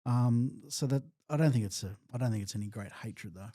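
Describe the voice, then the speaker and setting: A man reasons through his views, often restarting words as he goes. His tone is warm and mellow, and the recording is dry and close. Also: medium pitch, fairly quick, no echo. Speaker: podcast co-host. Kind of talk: casual sports podcast chat.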